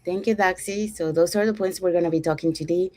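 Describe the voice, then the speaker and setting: A woman's voice: on the low side, steady tone, fast talker, a little mellow. A woman from Panama hosting an online business talk show, leading the segments and introducing the speakers. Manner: upbeat, guiding the segments. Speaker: host.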